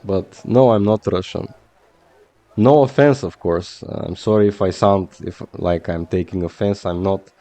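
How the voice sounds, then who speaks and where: A man's voice: low-pitched, normal speed, mellow, dry recording. A man from Bulgaria with a Bulgarian accent, chatting casually while streaming a game. He rambles in a hesitant way, full of fillers.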